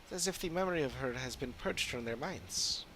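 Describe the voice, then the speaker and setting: Man acting out an earnest fantasy game adventurer, formal wording, expressive pitch, bright tone, faint noise. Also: a little fast, poor audio. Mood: earnest, puzzled. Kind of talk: dramatic read-aloud of game dialogue.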